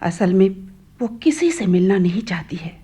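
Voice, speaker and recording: An actress playing an anguished middle-aged widowed mother in a Hindi radio play, halting and polite in her sorrow. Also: fairly low pitch, lively intonation, dark tone, husky. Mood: distressed, hesitant.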